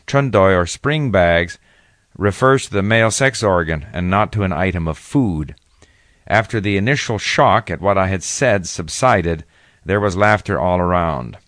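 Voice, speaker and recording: An older man reading his own first-person account in a measured, reflective way. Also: lowish voice, fairly bright tone, no echo, clean studio sound. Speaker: author reading his memoir. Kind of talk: first-person read narration.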